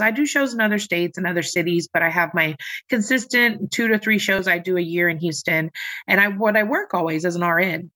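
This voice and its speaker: Woman, low voice, lively dramatic storyteller, interview guest. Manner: animated, dramatic storyteller, acts out quoted voices. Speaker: guest, singer and nurse. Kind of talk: interview conversation.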